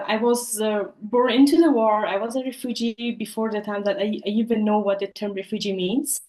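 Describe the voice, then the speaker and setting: A woman's voice: medium pitch, a little mellow, echoey room, rough recording. A young woman from Afghanistan who grew up in Pakistan, answering interview questions earnestly, with many fillers and restarts. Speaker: podcast guest, Olympic judoka.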